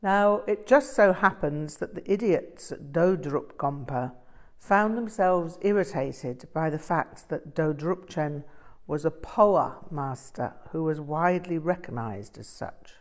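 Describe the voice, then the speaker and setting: A woman reading the part of an older male Tibetan Buddhist master in an audiobook, telling stories in a deliberate, emphatic way. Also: sits low, animated, darkish tone. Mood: didactic, blunt.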